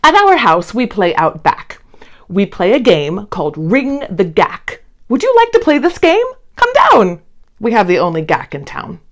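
A woman, around her thirties, talking and reading to young children in an expressive, emphatic way. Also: mid-range voice, measured pace. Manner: animated, emphatic, addressing children directly. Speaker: children's read-along host. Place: indoor room, seated before a plain blue wall.

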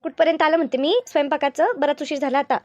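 A woman's voice: high-pitched, steady tone, quick pace, a little mellow, no echo, dull audio, lightly acted. A woman voicing a demanding older mother-in-law in a cartoon family drama, nagging and commanding with repeated words. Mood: impatient, nagging.

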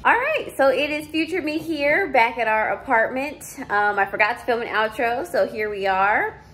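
A woman's voice: a little high, animated, moderate pace, a bit bright. A young woman casually chatting as she walks through an empty house, with frequent ums, filmed on a phone. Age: young adult. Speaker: home vlogger. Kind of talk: casual house tour vlog. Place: empty house, walking room to room. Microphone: phone camera held while walking.

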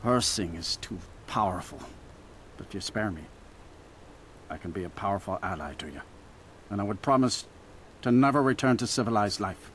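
An actor playing a remorseful, resigned werewolf who pleads in video game dialogue. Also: male voice, lowish voice, lively intonation, normal speed, pauses often, a bit bright, slight background noise. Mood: remorseful pleading.

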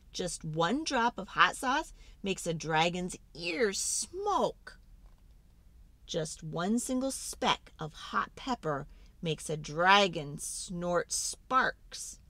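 A woman's voice: fairly low pitch, big pitch swings, drawn-out words, pushed and bright, no echo. A woman reading a children's storybook aloud in a dramatic, theatrical way, stretching words for emphasis.

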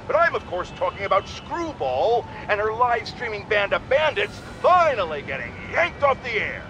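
A man's voice: high-pitched, very animated, normal speed, muffled recording. An actor playing an irascible, self-important male radio pundit in a video game, bombastic and sarcastic as he rants to his listeners. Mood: smug. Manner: bombastic, sarcastic, exaggerated indignation. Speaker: radio talk show host. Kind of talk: opinionated radio rant. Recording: clear background city noise from gameplay.

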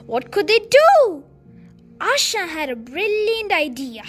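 Young child storyteller, very high voice, lively and unhurried, halting reader, faint music behind. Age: child, under 12. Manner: halting reading, repeating words and phrases. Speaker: young storyteller reading aloud. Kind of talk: expressive read-aloud of a children's storybook.

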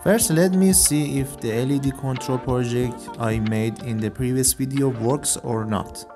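A man in his twenties presents a hands-on electronics tutorial. His voice sits at an average pitch for a man, with light music playing behind it. He speaks as a video creator explaining things to viewers. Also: a little slow. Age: 20s. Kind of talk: tutorial narration to camera.

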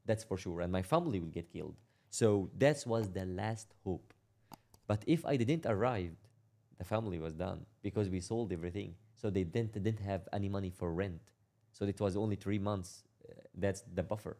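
A young man from Syria telling his life story in a casual interview, speaking in a halting way, repeating words and laughing as he talks. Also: deep voice, mellow. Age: young adult. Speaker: interview guest.

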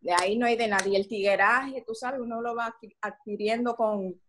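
A woman's voice: on the high side, steady tone, moderate pace, a bit bright. A young woman from Switzerland with a Dominican Spanish accent, chatting in a video call interview with many fillers and restarts. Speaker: singer guest. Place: home room indoors.

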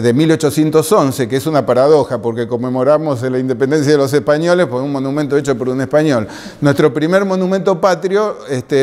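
A man's voice: a little high, darkish tone. Porteño man lecturing in a reverberant room. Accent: Buenos Aires Spanish. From Argentina. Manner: discursive, many fillers like "este" and "eh". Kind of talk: conference lecture with slides. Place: lecture room with projector screen.

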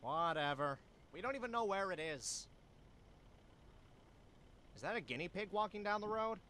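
A man's voice: sits high, expressive, moderate pace, sharp tone, slight background noise. A man voicing a skeptical, exasperated cartoon animal sidekick, sarcastic in manner, for an adventure game.

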